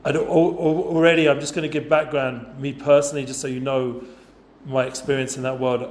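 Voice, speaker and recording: A man's voice: medium pitch, flat delivery, brisk, a little hiss or hum. Chatty man in an echoey room. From North London, England. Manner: chatty, informal, lots of fillers and asides. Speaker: guest speaker for students. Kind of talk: casual talk to a youth group.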